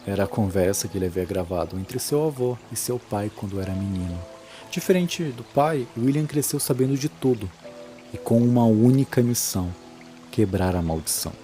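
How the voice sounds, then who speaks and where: A man's voice: lowish voice, animated, normal speed, mellow, faint music behind. A man giving a solemn, dramatic scripted narration for a horror audio drama.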